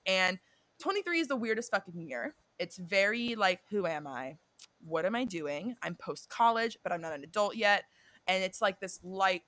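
Chatty young female co-host with a bright tone and a dry close recording; swears and rambles. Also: mid-range voice, no echo. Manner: chatty, swears freely, drifts off and self-corrects. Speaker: podcast co-host. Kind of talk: casual chat about a reality show and astrology.

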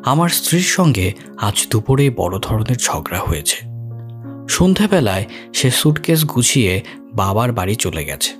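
A man reading a scripted first-person story as an audio story narrator. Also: mid-range voice.

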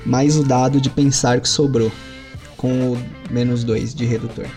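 A Brazilian man narrates a tabletop game as its game master, in a mellow, relaxed voice of middle pitch. He tells the scene with animated jumps in pitch, and faint music plays behind him. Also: a little slow. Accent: Brazilian Portuguese. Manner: animated storytelling with sudden lifts in pitch.

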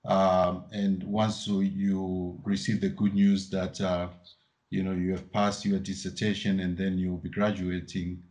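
A man's voice: deep voice, a little mellow. A man from Tanzania hosting a podcast interview, asking questions hesitantly with many filler sounds. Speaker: podcast host. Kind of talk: interview conversation.

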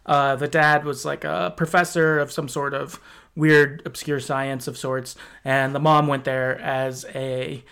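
A man's voice: mid-range voice, flat delivery, unhurried, gravelly, a bit bright, clear, resonant tone. A man hosting a podcast, recapping a story in a casual, rambling way. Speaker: podcast host.

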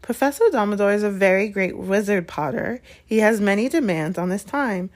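A woman's voice: medium pitch, normal speed, darkish tone, close to an everyday voice. A woman voicing a stern, proper older female professor with a clipped, formal manner, in a storybook reading.

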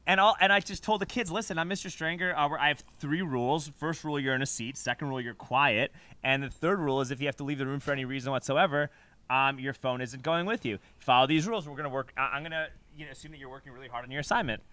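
A man's voice: mid-range voice, fast talker, sharp tone, dry recording. A man hosting a podcast, chatting casually in a rambling, emphatic, slangy way. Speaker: podcast host. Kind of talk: casual chat, conversational storytelling.

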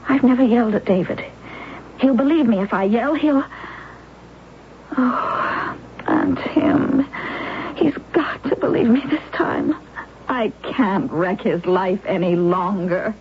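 A woman's voice: mid-range voice, slow pace, pauses often, a little hiss or hum, lightly acted. An actress playing an anguished young wife in a wheelchair who narrates her own story, in a 1970s radio drama. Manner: intense, pleading, breaking into despair. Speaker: narrator and lead character. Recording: muffled old radio broadcast with music.

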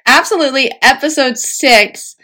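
High-voiced woman podcast co-host. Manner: animated, laughs often, quick swings in pitch when quoting others. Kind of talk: casual chat.

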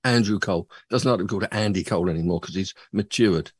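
A man's voice: low-pitched, fast, breathy edge, dry recording. A man hosting a casual chat show, rambling and joking at his own expense. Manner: chatty, rambling, self-mocking. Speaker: podcast host. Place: home studio.

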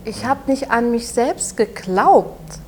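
A woman in her twenties from Saxony with a Saxon German accent, speaking haltingly and softly as an interview guest, often restarting her sentences. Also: on the high side, lively intonation, slight background noise. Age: 20s. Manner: halting, soft-spoken, with frequent restarts. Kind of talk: personal testimony in an interview.

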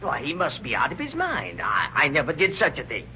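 An actor playing a nervous, evasive husband who feigns innocence with hesitant stammering, in old-time radio crime drama style. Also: male voice, medium pitch, wildly swooping pitch, brisk, bright, forceful tone, full character voice. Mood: panicked. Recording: muffled, noisy old radio recording.

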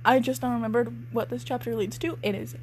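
Wry, giggly woman with a highish voice. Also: fairly even intonation, fast, a little hiss or hum. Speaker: co-host and reader. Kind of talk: casual read-aloud of amateur fiction with joking asides.

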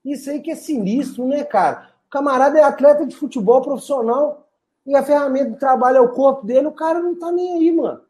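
A man's voice: very high voice, fast talker, dark tone. A man with a Brazilian Portuguese accent on a casual football live stream, teasing and swearing freely as co-host.

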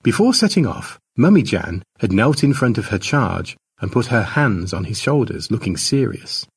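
A man reads a scripted story as its narrator. His voice is husky and gravelly, and his pitch moves up and down widely from phrase to phrase. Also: big pitch swings, normal speed, far from the mic. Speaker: author narrating own story.

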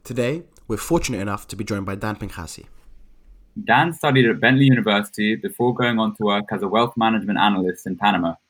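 Low-voiced male podcast host. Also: quick pace, fairly bright tone. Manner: polished, formal introductions. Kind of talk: scripted podcast intro and questions.

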